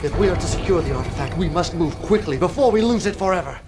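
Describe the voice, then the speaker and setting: An actor playing a grandiose, fatherly cult leader who speaks in solemn, deliberate prophecy in a video game cutscene. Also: sits high, expressive. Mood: urgent, exultant. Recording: voice over loud background music.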